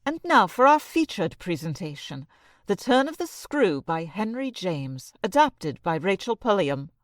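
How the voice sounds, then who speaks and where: A woman's voice: mid-range voice, sing-song, a little slow, no echo. A woman acting in an audio drama introduction as a formal, theatrical critic. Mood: formal announcing. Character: disdainful theatrical female critic in bantering introduction.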